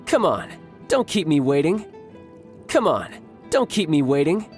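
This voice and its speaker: Cocky young warrior, lively pitch. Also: male voice, unhurried, soft background music. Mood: cocky, amused. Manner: teasing, confident, playful. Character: cocky, friendly young male warrior from a snowy land. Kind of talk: video game character voice lines.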